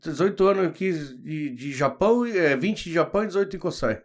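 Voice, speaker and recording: Host man, Brazilian, 40s, slightly high voice, chatty and laughing, casual podcast chat. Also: lively intonation, fairly quick. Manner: chatty, laughs often, many fillers like "né".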